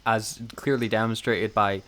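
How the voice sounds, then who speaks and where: A man's voice: fairly low pitch, fairly even intonation, a little slow, fairly bright tone, slight background noise. A man co-hosting a podcast in casual, joking review chat, with a self-deprecating manner. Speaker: podcast co-host.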